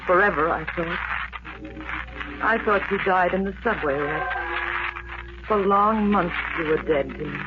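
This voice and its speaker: An actress playing a yearning woman in love in a 1940s radio drama, with earnest, measured, short phrases. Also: lowish voice, heavy background noise, natural voice. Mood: wistful, intense. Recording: muffled old radio recording, loud music.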